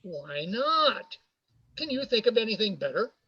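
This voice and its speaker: A man's voice: sits high, sing-song, unhurried, sharp tone, husky, heavily acted. A man acting a brash, teasing, jovial businessman in an amateur radio play, heard over a video call. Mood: boastful. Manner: hearty, teasing, boastful. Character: brash, jovial male businessman, George's old pal. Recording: muffled video call audio.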